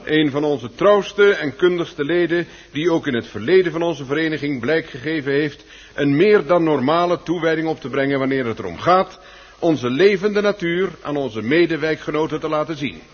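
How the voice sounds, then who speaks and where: A pompous club chairman in a radio drama, orating with formal, wordy ceremony. His husky voice is fairly high and swoops widely, on a muffled old recording. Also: expressive, normal speed. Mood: pompous, solemn. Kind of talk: Dutch radio play acting.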